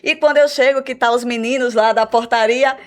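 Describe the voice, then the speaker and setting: A woman with a Brazilian Portuguese accent, an English teacher explaining her school's program in a radio interview, measured and full of "né?" tags. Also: fairly high pitch, steady tone. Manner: measured, explanatory, repeats words with "né?" fillers.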